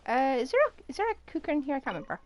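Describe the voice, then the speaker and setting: A woman's voice: high-pitched, very animated, racing, slight background noise. A woman streaming a game, chatting casually and musing aloud as she plays. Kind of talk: casual livestream commentary over gameplay. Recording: game music behind the voice.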